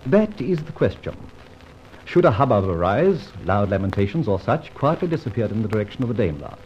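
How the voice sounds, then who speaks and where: An Australian actor playing a suave, playful gentleman thief in a 1950s radio drama, brisk and theatrical. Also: on the low side, big pitch swings. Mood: scheming, playful. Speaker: lead character. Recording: dull old radio recording, faint hiss.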